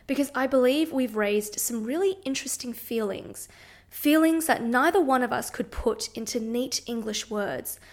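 A woman hosting a podcast, introducing the show and chatting with a guest in an upbeat way. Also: on the high side. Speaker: podcast host. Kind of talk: podcast chat and scripted intro.

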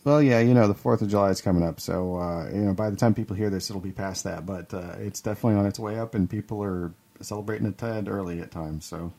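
A quick-talking man co-hosting a casual podcast, jumping in with loud reactions. His voice sits fairly low. He keeps the chat moving at a brisk clip. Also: creaky. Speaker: podcast co-host.

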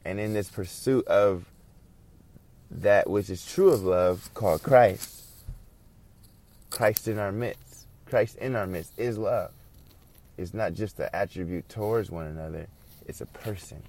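A man's voice: fairly low pitch, unhurried, long pauses, a little mellow. A man preaching an informal sermon, earnest and reflective, repeating and building on his phrases.

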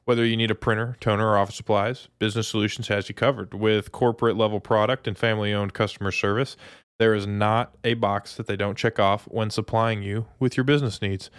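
Low-voiced man, casual podcast co-host. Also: level tone, brisk, clear, resonant tone, no room sound, clean studio sound. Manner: informal, slangy buddy talk. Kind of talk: casual sports chat.

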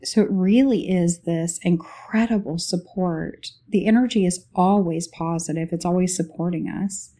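A woman leading a guided spiritual meditation as host, speaking in short, unhurried phrases with long pauses. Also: on the low side, dark tone.

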